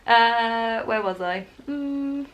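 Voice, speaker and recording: A woman's voice: on the high side, extremely slow. A woman talking casually to camera in a rambling vlog, laughing often as she goes. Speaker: wellbeing vlogger. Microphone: camera in front, leaning closer at times.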